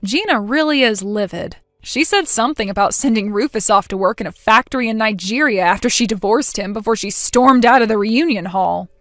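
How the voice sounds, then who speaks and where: A woman's voice: on the high side, lively intonation, a little fast, hardly any pauses, fairly bright tone, dry recording, studio quality, soft background music. A woman voicing a brash young woman caught out after an affair, who whines, pleads and flares up in outrage. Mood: pleading. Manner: whiny, pleading, easily outraged.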